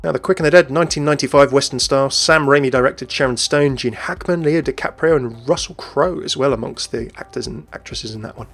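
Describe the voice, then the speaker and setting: A man's voice: mid-range voice, quick pace, creaky, hardly any pauses, faint music behind. A man hosting a gaming video, narrating game lore in a chatty, upbeat way. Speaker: video host.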